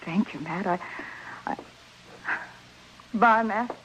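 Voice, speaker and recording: An actress playing an anxious, pleading frontier wife with an American accent, earnest and halting in an old radio melodrama. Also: female voice, medium pitch, fairly bright tone. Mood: subdued. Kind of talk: 1950s radio melodrama acting. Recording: muffled old radio recording, faint noise.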